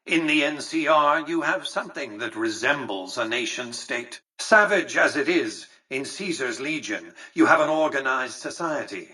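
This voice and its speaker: An imperious, calculating casino magnate for a video game. His mid-pitched voice is husky yet sharp, with measured, articulate phrasing. He sounds pompous and condescending, every clause carefully weighed. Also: lively intonation, distant mic. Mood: grandiose, disdainful. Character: imperious, calculating, aristocratic male casino tycoon and mastermind. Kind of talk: theatrical video game character dialogue.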